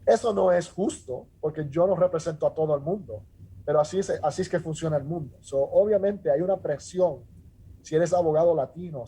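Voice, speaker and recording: A middle-aged man from Rico, a judge talking earnestly in a measured way with many restarts and filler sounds. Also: a little high, dark tone, slight background noise, poor audio. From Puerto Rico. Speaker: judge, interview guest. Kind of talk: casual interview talk.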